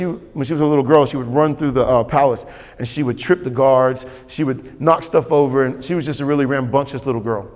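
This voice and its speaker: A man's voice: medium pitch, a little mellow. A man preaching a sermon as pastor, emphatic and repetitive, calling for responses from the congregation. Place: church sanctuary. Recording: long hall reverb.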